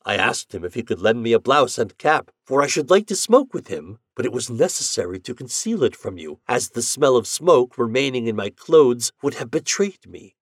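A mild young husband explaining himself gently and apologetically, as a man puts him on. His voice moves lively over a dry, close recording. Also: medium pitch, normal speed, few pauses, no room sound, pitched above the performer's own voice. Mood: apologetic.

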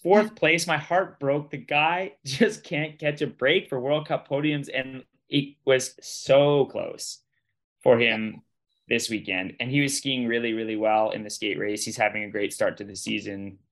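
A male podcast host with a medium-pitched voice chats through a sports recap. He is colloquial and emphatic, rambling from point to point and repeating phrases as he makes his case. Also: fairly even intonation, unhurried, fairly bright tone. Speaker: podcast host and ski racing commentator. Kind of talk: casual sports recap chat.

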